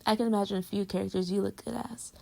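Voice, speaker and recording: A woman playing an affectionate, teasing girlfriend with a hidden insecurity, in intimate pillow-talk roleplay audio, often slipping into breathy whispers. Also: mid-range voice, fairly even intonation, racing, a little mellow. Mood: playful, teasing.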